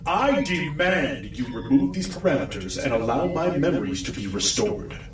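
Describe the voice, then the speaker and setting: A man's voice: fairly high pitch, very animated, normal speed. A man voicing an imperious robotic warrior in an audio drama, commanding, formal and menacing, with a reverberant machine effect. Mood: demanding, threatening. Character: imperious robotic warrior with a glowing face, demanding his memories. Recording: echoing, distant-sounding processed voice.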